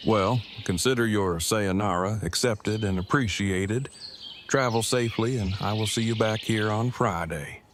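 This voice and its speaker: Casting: male actor as earnest, verbose overseer in audio drama; lowish voice, pedantic delivery, faint birdsong and ambience. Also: measured pace, slight background noise, natural voice. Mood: formal, fond. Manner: stilted, verbose, pedantic phrasing. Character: formal, wordy, earnest male survivor overseer.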